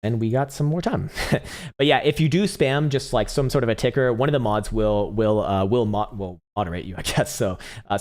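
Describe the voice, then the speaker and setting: A man's voice: fairly low pitch, darkish tone. A young man hosting a live crypto chart stream, talking casually and profanely, laughing often and starting to lose his voice. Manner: rapid, profane, laughs often, restarts words. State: losing his voice. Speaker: livestream host, crypto chart analyst.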